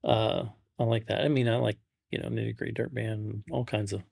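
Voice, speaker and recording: A man's voice: on the low side, fairly even intonation, fairly quick, vocal fry, a little mellow, slightly rough, no room sound, clean studio sound. A middle-aged man chatting casually as a podcast co-host, often repeating and restarting his phrases. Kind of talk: casual chat.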